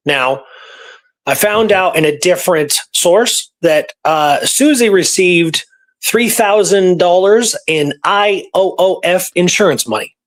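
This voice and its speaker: A man's voice: high voice, expressive, slow. A man talking casually as a history researcher, sharing findings in an informal storytelling manner. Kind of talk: casual conversational history discussion.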